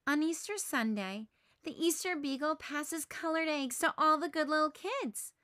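A woman's voice: high-pitched, moderate pace, fairly bright tone, dry recording, studio quality, pitched above the performer's own voice. A woman voicing an earnest, insistent little boy in a children's storybook read aloud.

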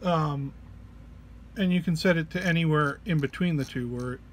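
A man giving an informal gear walk-through as a demonstrator, hesitant and searching for words, sounding under the weather. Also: on the high side. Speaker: musician demonstrating an effects pedal patch. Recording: clear background noise.

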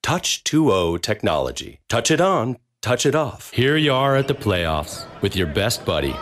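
A man's voice: lowish voice, sing-song, measured pace, a bit bright, slight background noise. An American man delivering scripted advertisement narration as a commercial voice-over actor. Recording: studio voice-over with music bed. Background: music.

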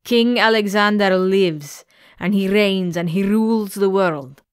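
A woman's voice: mid-range voice, measured pace, clean tone, close to an everyday voice. A woman voicing a frightened ship captain who tries to sound solemn and hurries his words.

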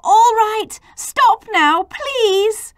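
A woman's voice: extremely high pitch, expressive, very slow, voice pushed up, exaggerated voice. A woman voicing an anxious, flustered letter character urgently pleading for peace in a children's storybook.

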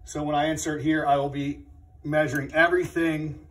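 A middle-aged man gives a hands-on furnace lesson in a small indoor training room. His voice sits a little high, and he explains things step by step, often restarting a phrase. A faint hum runs under the phone recording. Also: little pitch movement, moderate pace, a bit bright. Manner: plain, methodical explaining with frequent restarts. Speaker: HVAC trainer. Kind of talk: hands-on technical demonstration.

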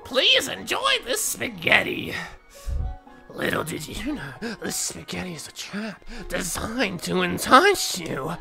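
A young man voicing a loud, boastful, excitable male skeleton, shouting in a gravelly voice. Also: sits high, takes it slowly, music in the background, heavily acted. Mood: triumphant. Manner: theatrical, exclamatory game dialogue reading.

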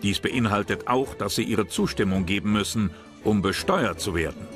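A man narrates a documentary in a fairly low voice. His delivery is scripted storytelling, with clear music running underneath. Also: normal speed. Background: background music.

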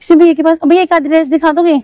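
A woman's voice: extremely high pitch, flat delivery, rapid-fire, mellow, no room sound. A young woman vlogging at an outdoor market, chatting through prices and fabrics and asking shopkeepers. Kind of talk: walking market vlog showing clothes and prices. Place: busy outdoor street market.

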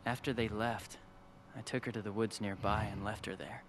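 An actor playing a brash, protective young adventurer and devoted brother in a video game, with a casual, blunt, youthful manner. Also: male voice, fairly low pitch, steady tone, normal speed, slight background noise, close to an everyday voice. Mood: subdued, regretful. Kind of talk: video game cutscene acting.

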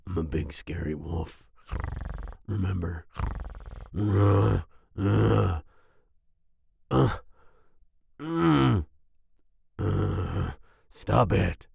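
Very gravelly, grumpy werewolf boyfriend. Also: male voice, on the low side, darkish tone, no echo, studio quality, exaggerated voice. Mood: defensive, flustered. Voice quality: gravelly, growling, husky. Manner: grumbling, slow, with grunts and growls. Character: big grumpy werewolf boyfriend, gruff but secretly soft. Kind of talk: ASMR boyfriend roleplay.

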